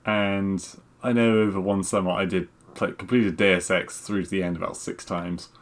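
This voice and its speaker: A man with a lowish voice talks as a podcast co-host. His delivery is conversational and unscripted, and he hesitates as he pieces his thoughts together. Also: level tone, moderate pace. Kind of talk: casual chat.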